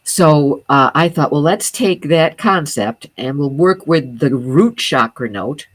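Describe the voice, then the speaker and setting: Low-voiced woman explaining, dry sound. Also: slow, dry recording. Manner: earnest, steady explaining with frequent fillers and restarts. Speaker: sound healing teacher, guest. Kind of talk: explanatory guest talk.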